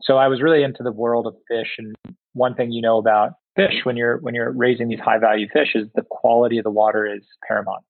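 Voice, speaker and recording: A man who grew up in Singapore, a company founder answering interview questions in a halting way, repeating words and pausing often. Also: medium pitch, steady tone, creaky, no echo. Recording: tinny phone-like line.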